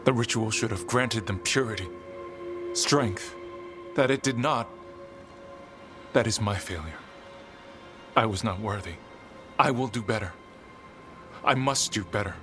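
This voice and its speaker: An actor voicing a solemn, devoted elven zealot in a video game, speaking in a grave, formal and fervent way. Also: male voice, on the low side, measured pace, long pauses, close to an everyday voice. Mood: remorseful. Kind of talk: dramatic video game voice acting. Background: music.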